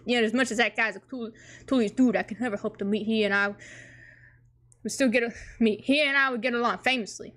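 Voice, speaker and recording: A hoarse woman playing a cool, ironic teenage boy, reading his rambling lines fast and flat. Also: on the high side, lightly acted. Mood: deadpan. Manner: rapid, rambling deadpan monologue.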